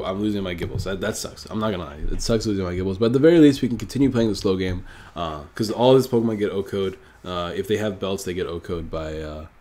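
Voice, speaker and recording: A man's voice: lowish voice, mellow. A man with a stuffy, nasal voice from a cold, casually thinking aloud while commenting on his own card game match. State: sick, stuffy nose. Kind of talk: live gameplay commentary.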